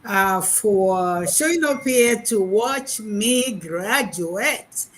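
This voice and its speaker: A woman in her sixties with a Nigerian accent, giving a chatty, halting speech over a video call at an online graduation. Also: medium pitch, slight background noise. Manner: chatty and animated, restarts and repeats words, hesitant fillers. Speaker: graduating program participant, retired math lecturer.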